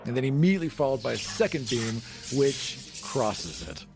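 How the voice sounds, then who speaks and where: A man's voice: mid-range voice, expressive, moderate pace. A playful man hosting a podcast and telling the story of a tabletop game in a casual style. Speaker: podcast host and game player. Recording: music playing behind the voice.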